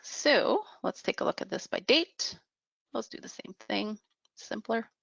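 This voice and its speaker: A woman presenting a software demo in a webinar, explaining step by step off the cuff with halting pauses. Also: a little high, animated, vocal fry, dry recording, highs cut off. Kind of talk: unscripted software demo narration.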